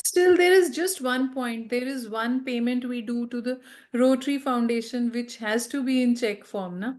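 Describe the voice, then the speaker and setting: A woman's voice: fairly high pitch, moderate pace. A woman with an Indian accent, asking a question conversationally as a class participant.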